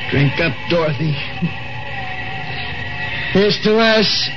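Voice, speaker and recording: A man's voice: on the high side, animated. Bitter lonely man outta time, voice all over the place, old muffled radio show w music behind. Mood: bitter, brooding. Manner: halting, stammering, theatrical. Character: lonely, embittered man from the past, lost in the future. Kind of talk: 1950s radio drama acting.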